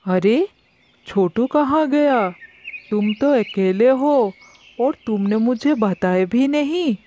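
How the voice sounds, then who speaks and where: Woman voicing a gentle young lion. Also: on the high side, lively intonation, slow, a little hiss or hum. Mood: earnest. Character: young lion cub raised by a deer, gentle.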